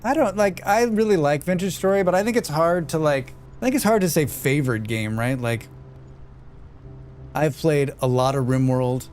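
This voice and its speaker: A man's voice: on the high side, normal speed, a little mellow. A man with a scratchy voice, muttering and thinking aloud as he streams a game. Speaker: streamer playing a demo. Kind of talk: live gameplay commentary.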